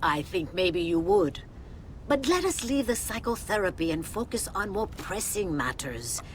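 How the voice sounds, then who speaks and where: Wry Mexican nun, lively pitch. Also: female voice, a little slow, slight background noise. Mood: grave, pointed. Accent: Mexican Spanish. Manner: wry, articulate, dryly pious. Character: wry, composed Mexican Mother Superior nun in Western game. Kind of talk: video game cutscene acting.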